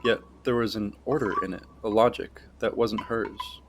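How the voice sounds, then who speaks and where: A man narrating a scripted fantasy story in a measured, suspenseful manner. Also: fairly low pitch, fairly even intonation, gravelly, background noise.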